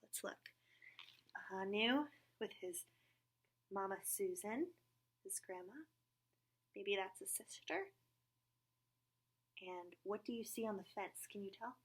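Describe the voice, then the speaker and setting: A woman's voice: medium pitch, takes it slowly, pauses often, breathy edge. A woman hosting a children's story time, reading a picture book aloud and expressively asking her listeners questions.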